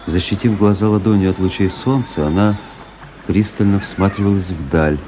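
An actor playing a self-assured, ironic young officer who tells his story in measured, literary fashion in a radio drama. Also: sits low, background noise, natural voice. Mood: fascinated, observing.

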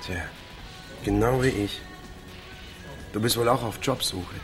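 A man's voice: on the low side, unhurried, soft background music, lightly acted. A man playing a nosy, idle, over-familiar villager in his thirties, making laconic, prying small talk in a radio drama. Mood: casual, prying. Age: 30s. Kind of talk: naturalistic radio drama dialogue.

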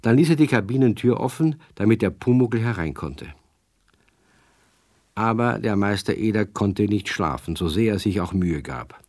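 A man narrating a children's radio play in a measured storytelling manner. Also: lowish voice, expressive, a little mellow, dry recording, clean studio sound.

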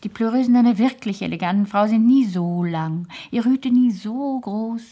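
A woman's voice: on the high side, normal speed, mellow, lightly acted. An actress playing a worldly, imperious older great-aunt in a radio play, grand and exacting as she instructs. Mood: haughty, worldly. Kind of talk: 1970s German radio play acting.

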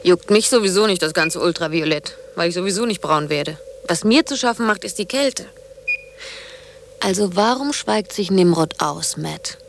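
A woman's voice: on the low side, animated, normal speed, vocal fry, slight background noise. An actress playing a cool, self-reliant younger woman in a German radio drama, dry, blunt and dismissive. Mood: sardonic.

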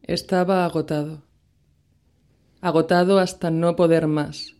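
A woman giving a slow, measured dramatic reading of a horror tale, with long pauses between phrases. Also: deep voice, fairly even intonation, a little mellow, a little hiss or hum. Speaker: reader.

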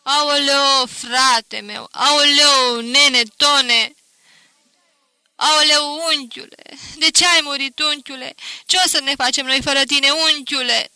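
A reader voicing a grieving peasant mother, a widow, keening loudly and high over a dead brother in a storybook reading. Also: steady tone, no room sound, full character voice. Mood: wailing. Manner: keening lament, loud and high. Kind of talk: audiobook character voice.

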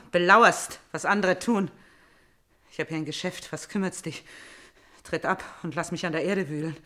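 An actress playing a vengeful, tormented young princess in a classical radio tragedy, declamatory and intense, swinging between whispers and outcries. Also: female voice, on the low side, fairly bright tone. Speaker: radio drama actor. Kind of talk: classical tragic radio play acting.

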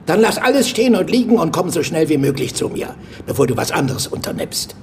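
An actor playing a gruff, bossy police major in a radio drama, blunt, stern and commanding. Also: male voice, fairly high pitch, very animated, a little fast, slight background noise. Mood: stern, confidential.

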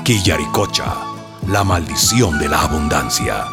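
A man's voice: rumbling low, expressive, a little slow, creaky. A man announcing the end of a radio drama episode. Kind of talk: program closing announcement. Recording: announcement over flute music.

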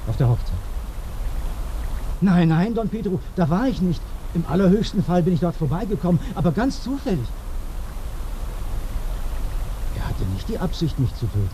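A murdered villager protesting from the grave, anxious and pleading. His voice is very dark and swings widely in pitch. Faint noise and ambience surround it in this radio drama. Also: sing-song, a little fast, low-quality recording. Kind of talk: German radio play acting.

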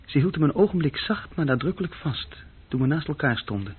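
A man's voice: on the high side, lively intonation, a little fast, slightly rough. An actor playing an uneasy, insecure young junior clerk who tells his story hesitantly and intimately in a radio play. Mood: brooding. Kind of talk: radio play acting, intimate first-person narration. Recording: dull, muffled old radio recording.

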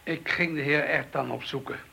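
An actor playing an arrogant middle-aged surgeon being questioned by the police, scornful and dismissive, in a radio play. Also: male voice, fairly high pitch, fairly even intonation, moderate pace, vocal fry, bright, forceful tone, a little hiss or hum, full character voice. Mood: haughty. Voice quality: haughty, scornful.

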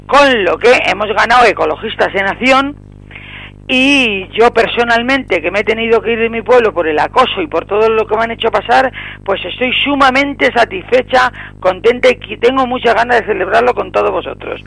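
A woman with an accent from Spain and a gravelly voice, talking spontaneously as an activist guest on radio. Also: on the high side, normal speed, a bit bright, rough recording. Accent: Spanish, from Spain. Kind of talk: spontaneous radio interview talk.

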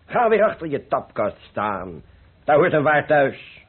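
An actor playing a cheerful, carefree older painter who philosophizes persuasively in a radio play. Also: male voice, a little high, sing-song, a little slow, breathy edge, full character voice. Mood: bantering, encouraging. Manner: exuberant, persuasive, rhetorical. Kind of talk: Dutch radio play acting. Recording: muffled old radio play recording.

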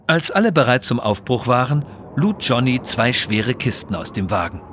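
A man's voice: medium pitch, sing-song, normal speed, over loud music. A man reading scripted narration for an adventure audio story.

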